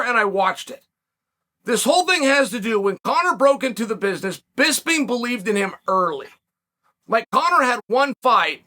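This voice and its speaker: A man in his forties hosting a solo commentary show, speculating and telling stories in an animated, emphatic way from a dark studio set. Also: very high voice.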